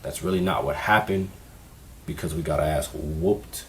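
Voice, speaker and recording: A man in his twenties vlogging casually, candid and relaxed, with plenty of fillers as he talks through his experiences. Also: rumbling low, moderate pace, a little hiss or hum. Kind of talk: casual vlog advice.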